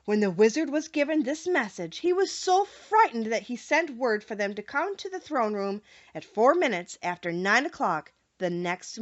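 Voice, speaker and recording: Animated woman narrating a storybook. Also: medium pitch, highs cut off. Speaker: audiobook narrator.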